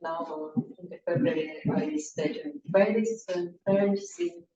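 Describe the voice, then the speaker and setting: A woman with a Russian accent, a native Russian speaker, talking in halting English in a classroom introduction. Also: fairly low pitch, sing-song, warm tone, slight background noise. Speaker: language teacher trainee. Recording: roomy, distant, slightly dull.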